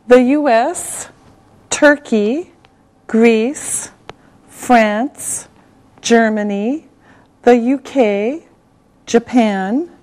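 A middle-aged woman from Canada teaching an English lesson, enunciating deliberately word by word and repeating herself, in a studio. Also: on the high side, darkish tone, low-quality recording. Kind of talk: slow classroom language lesson. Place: television studio classroom set.

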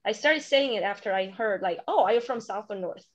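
A woman's voice: mid-range voice, lively intonation. Korean-accented woman presenting on a webinar. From South Korea. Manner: halting, many fillers and restarts. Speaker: curriculum author presenting to teachers. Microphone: video call. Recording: dull lo-fi webinar audio.

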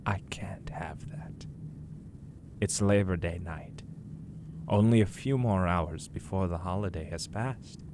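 A man's voice: low voice, takes it slowly. An actor playing a calculating, cryptic imprisoned madman in a radio noir, creepy and menacing. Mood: sly menace. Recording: clear background noise.

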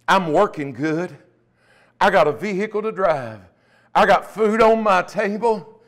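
Slow, higher-voiced preacher man. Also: a bit bright. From United States. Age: middle-aged. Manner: emphatic preaching with frequent interjected amens. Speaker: pastor. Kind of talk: Pentecostal sermon. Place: small church, at the pulpit indoors. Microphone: lapel mic.

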